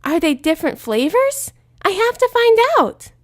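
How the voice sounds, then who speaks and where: A woman voicing a hyper, bouncy young female pony who is obsessed with candy, talking in an excited squeal. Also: very high voice, normal speed, no room sound, clean studio sound, pitched above the performer's own voice.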